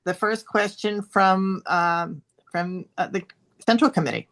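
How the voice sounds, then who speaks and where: A woman interviewing a candidate for a political club on a video call, polite and halting with many fillers. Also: mid-range voice, a bit bright. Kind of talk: moderating an endorsement interview.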